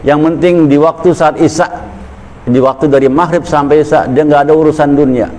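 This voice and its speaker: A man's voice: a little high, steady tone, brisk, a little mellow, poor audio. A man giving a colloquial religious sermon at a weekly gathering, talking conversationally, repeating phrases and building to emphatic stresses. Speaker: Islamic preacher.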